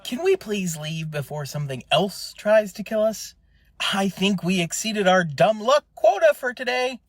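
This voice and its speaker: A man's voice: high-pitched, animated, dry recording. A man playing an anxious, sarcastic space scout in a comedy radio drama, nervous and wry. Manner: nervous, wry, fast-worried.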